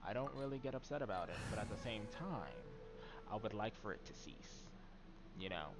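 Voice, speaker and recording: A man streaming a game live, chatting in a very soft, mild voice with plenty of ums and trailing words. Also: on the low side, animated, fairly quick, very noisy. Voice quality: very soft voice that does not carry.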